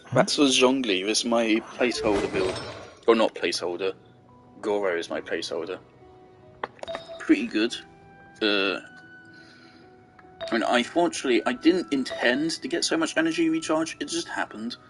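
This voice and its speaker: A man's voice: medium pitch, moderate pace, pauses often, soft background music, low-quality recording. A man giving casual gameplay commentary, rambling and thinking aloud as he goes. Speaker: game streamer.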